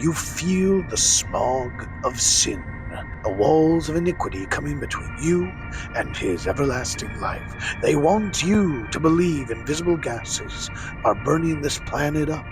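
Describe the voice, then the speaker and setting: A man's voice: a little high, rough recording. A man playing a damning radio preacher in an audio drama, with a rhythmic sermon cadence. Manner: rhythmic cadence, sudden surges and drops.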